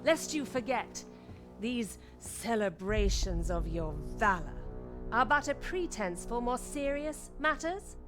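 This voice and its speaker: Slow, imperious scheming queen. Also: fairly high pitch, expressive, over music. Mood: cold, scolding. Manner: imperious, measured, formal. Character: cold, scheming regal queen regent, quietly menacing. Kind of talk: video game voice acting.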